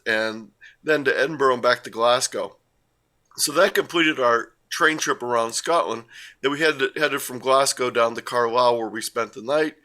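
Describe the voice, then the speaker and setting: A man's voice: mid-range voice, sharp tone. Older man telling rambling travel stories. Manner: rambling, with frequent uh and self-corrections. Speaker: guest presenter. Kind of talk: casual talk with slides.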